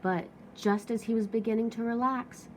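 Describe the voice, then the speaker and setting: A woman reading a children's storybook aloud as an expressive narrator. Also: on the high side, moderate pace, a little hiss or hum.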